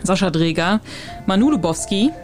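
A woman's voice: medium pitch, expressive, moderate pace, darkish tone, faint music behind. A woman co-hosting a podcast in casual chat, reading out lists of names and laughing easily. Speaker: podcast co-host. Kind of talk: casual chat, quiz game.

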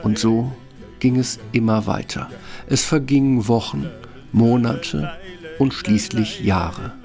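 A man narrating a fairy tale from a script in a wry, measured storytelling manner. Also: mid-range voice, darkish tone, soft background music.

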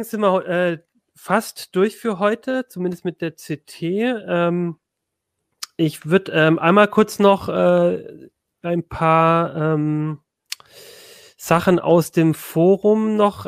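A man hosting a tech podcast, speaking with a southern German accent and often pausing with fillers and restarts. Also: on the high side, gravelly, no echo, studio quality. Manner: hesitant, many fillers and restarts. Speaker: podcast host. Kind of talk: casual tech podcast chat.

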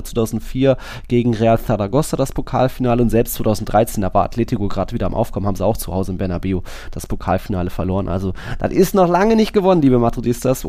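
Male football podcast host, relaxed warm tone, colloquial analysis, close dry recording. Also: mid-range voice, no echo. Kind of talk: casual football analysis and preview.

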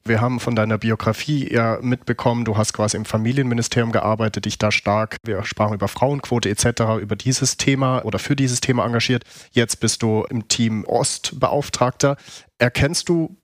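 A man hosting a podcast, putting conversational interview questions in a deliberate, searching way. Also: mid-range voice, fairly even intonation, no room sound, studio quality. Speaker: podcast host.

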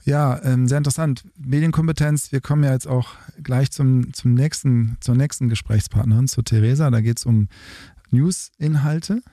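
A man's voice: medium pitch, fairly even intonation, normal speed, dark tone, no echo, studio quality. A man hosting an interview podcast, talking hesitantly with many fillers. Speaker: podcast host.

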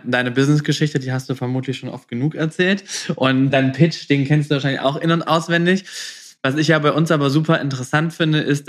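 A man's voice: mid-range voice, a bit bright. A man hosting a podcast, interviewing in a casual, colloquial way with English phrases mixed in. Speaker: podcast host.

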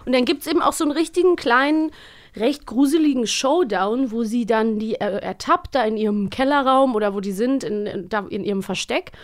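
A woman's voice: a little high, fairly quick. A young woman chatting informally and enthusiastically as a podcast co-host. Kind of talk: casual podcast chat about children's audio dramas.

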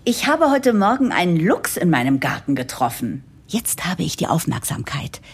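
An actress playing a wry, hopeful newcomer, confiding in the listener in a scripted first-person radio play narration with dramatic pauses and whispery asides. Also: female voice, fairly low pitch, very animated, breathy edge, full character voice.

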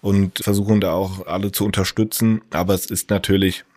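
A man answering interview questions about hospital staff, measured and steady, with frequent hesitations. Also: low voice, dry recording, studio quality.

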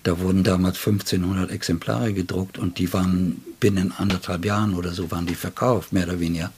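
An older man, a writer, reminisces in an interview in a deliberate, anecdotal way with long, detailed sentences. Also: sits low, level tone, darkish tone, a little hiss or hum. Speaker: writer and literary editor being interviewed.

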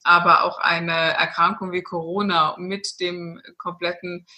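Bright-voiced German woman, earnest. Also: on the low side, little pitch movement, measured pace. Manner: earnest, many fillers and restarts. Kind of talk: spiritual interview talk.

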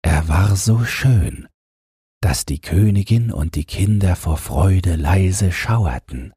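A man narrating a fairy tale as an audiobook reader, in a gentle and expressive storytelling manner. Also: sits low, takes it slowly, slightly rough, dry recording, studio quality.

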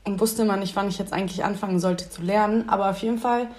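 A woman in her twenties, a student guesting on a podcast, chatting playfully with a slightly rough voice. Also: mid-range voice, fairly even intonation, quick pace, a little mellow. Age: 20s. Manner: playful, teasing. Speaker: podcast guest, host's sister. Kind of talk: casual chat.

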